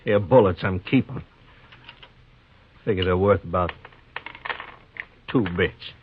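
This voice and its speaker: An actor playing a hostile, stubborn young homesteader with a rural American accent in a 1950s radio western, curt and threatening. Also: male voice, low voice, slow pace. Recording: tinny, noisy old radio recording.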